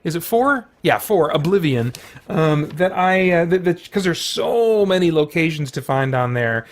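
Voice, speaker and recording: A man's voice: fairly high pitch, expressive, unhurried. A man hosting a casual vlog, chatting in a meandering way and often breaking into his own sentences with asides. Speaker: video host showing a collection. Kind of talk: casual rambling vlog chat.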